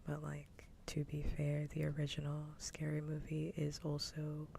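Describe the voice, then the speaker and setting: A woman's voice: deep voice, completely flat delivery, measured pace, creaky, warm tone, slight background noise. A woman whispering close to the microphone in a casual, candid ASMR live stream chat.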